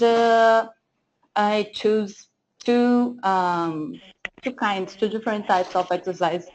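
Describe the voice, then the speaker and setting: A woman's voice: mid-range voice, darkish tone, slight background noise, poor audio. Hesitant woman with a Portuguese accent. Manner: hesitant, many fillers and restarts. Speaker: data analytics trainer. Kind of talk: slide presentation lesson. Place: screen-share presentation, voice off camera.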